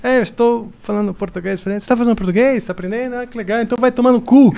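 High-voiced man telling stories. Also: rapid-fire, gravelly, dark tone, clean tone. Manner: storytelling, joking. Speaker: guest musician. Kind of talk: casual podcast chat. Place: podcast studio. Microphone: studio mic on boom arm.

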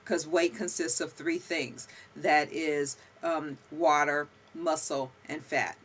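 A woman's voice: low voice, steady tone, slow pace, fairly bright tone, husky. A middle-aged woman hosting an interview over a video call, talking emphatically with many fillers. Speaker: podcast host. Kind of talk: conversational interview. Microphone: video call webcam.

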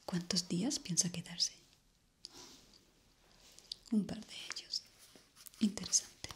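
A young woman from playing a polite, eerie hotel receptionist in an ASMR roleplay, formal and hushed. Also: on the low side, fairly even intonation. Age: young adult. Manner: formal, slow, hushed and courteous.

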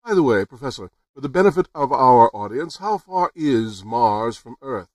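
A man's voice: medium pitch, expressive, moderate pace, no echo, far from the mic, lightly acted. A man acts out an earnest radio news reporter in an old-time radio drama. He announces formally, as if live on location. The sound is dry and a little away from the microphone. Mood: probing. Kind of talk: 1930s radio drama acting, live broadcast commentary.

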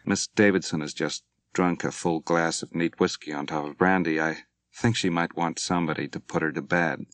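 A man playing a steady naval commander. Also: deep voice, dry recording. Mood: polite. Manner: measured, plain-spoken.

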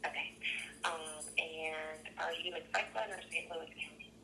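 A woman's voice: sits low, fairly even intonation, normal speed, vocal fry, a crowd in the background. A woman working as a call centre agent, polite and businesslike, heard through a telephone speaker. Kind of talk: scripted customer service phone talk. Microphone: heard through a phone's speaker. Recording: phone call on speaker, faint noise.

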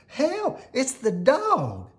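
A narrator as a shrieky, excitable city mouse lady. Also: high-pitched, very slow, voice pushed up, heavily acted. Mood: excited. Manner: shrieking, bossy, breezy.

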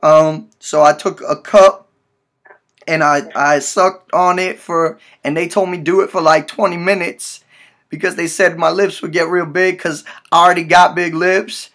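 A man's voice: on the high side, fairly even intonation, measured pace, fairly bright tone. A man in his twenties playing a hurt, naive young man on a prank call, whining, pleading and stammering as he repeats himself. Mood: distressed, whiny. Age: 20s. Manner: whiny, pleading, repetitive, stammering. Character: hurt, frantic, naive young man with bleeding swollen lip. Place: small room indoors, at a desk.

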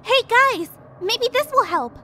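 An actress playing an anxious, excitable teenage student in an audio drama. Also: female voice, very high voice, takes it slowly, slight background noise, exaggerated voice. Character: student Daniella, jumpy teenage girl.

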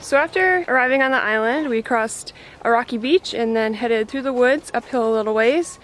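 A woman's voice: fairly high pitch, normal speed, slight background noise, poor audio. Chatty woman narrating an outdoor hike. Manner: relaxed, chatty and practical, talks to her dog. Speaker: reporter filming a hike. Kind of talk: casual guided hike narration. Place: outdoors on a coastal trail.

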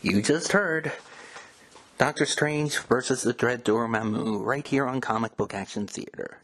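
A man's voice: medium pitch, a little slow, a bit bright. A man speaking as a host, introducing and explaining program segments to listeners. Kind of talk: scripted show narration.

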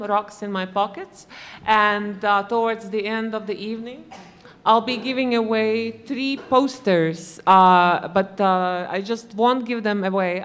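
A woman's voice: medium pitch, fairly bright tone, big-room sound. A woman from Latvia with a Latvian accent, introducing herself on an animation panel with cheeky humor and many hesitant fillers. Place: gallery stage before a large audience. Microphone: table mic.

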